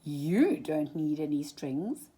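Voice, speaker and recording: A woman voicing a bossy, scheming male puppet master, a children's storybook villain with a loud, gloating manner. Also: sits low, very animated, slow pace, slightly rough, heavily acted. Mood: coaxing.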